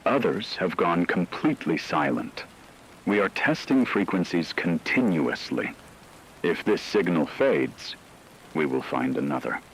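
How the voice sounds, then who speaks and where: A man playing a grave radio news anchor, reading a scripted emergency bulletin in a formal, measured, solemn way. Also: sits low, sing-song, creaky, a little hiss or hum, heavily acted, rough recording. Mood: grave, steady. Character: grave male shortwave radio newscaster reporting global catastrophe.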